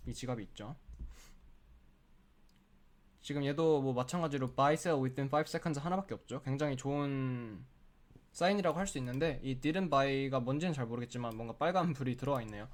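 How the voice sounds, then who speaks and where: A man's voice: medium pitch, normal speed. Man with a cold explaining casually. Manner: chatty and explanatory, leans on filler words. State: has a cold, sore throat, clears throat often. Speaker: crypto trading tutorial host. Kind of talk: casual screen-share tutorial walkthrough.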